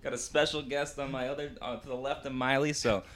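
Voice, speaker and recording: A man at middle pitch co-hosts a casual podcast with friends. He sounds upbeat and hyped, ready for a great show. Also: level tone, brisk, a crowd in the background. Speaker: podcast co-host. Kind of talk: casual chat.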